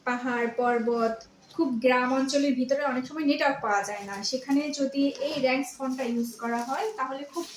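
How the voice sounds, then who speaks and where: A woman's voice: high-pitched, quick pace. A Bangladeshi woman explaining products in an interview as a customer relations officer, repeating phrases for emphasis. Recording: echoey room, faint background noise.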